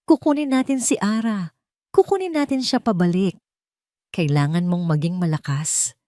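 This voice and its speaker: A woman's voice: mid-range voice, moderate pace, dark tone, dry recording, studio quality, voice pushed up, close to an everyday voice. A woman voicing a wealthy older mother in an audio love drama, protective, consoling and insistent.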